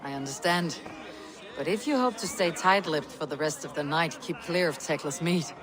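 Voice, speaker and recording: A woman's voice: deep voice, normal speed, a crowd in the background, natural voice. An actress playing a loyal, steadfast Viking warrior woman, direct and wry, in video game dialogue. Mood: wry, friendly. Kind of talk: dramatic game cutscene dialogue.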